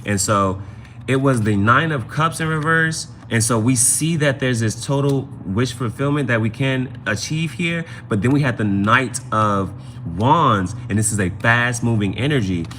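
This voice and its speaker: A man's voice: mid-range voice, measured pace, a bit bright, a little hiss or hum. A man hosting a casual live tarot reading, giving advice conversationally and often repeating his phrases. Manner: conversational, repeats phrases and adds "you know what I'm saying".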